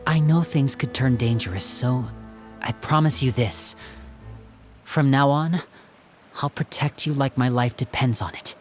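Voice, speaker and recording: A man's voice: mid-range voice, a little slow, soft background music, lightly acted. A man playing an earnest, protective young older brother in a dramatic game scene, articulate and courteous. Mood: grateful, resolute.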